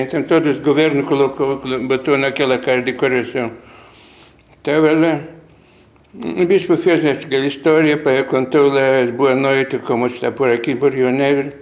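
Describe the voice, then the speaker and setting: Older Lithuanian-accented man, halting. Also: mid-range voice. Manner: halting, rambling with many restarts. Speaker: missionary priest. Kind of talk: interview recollection.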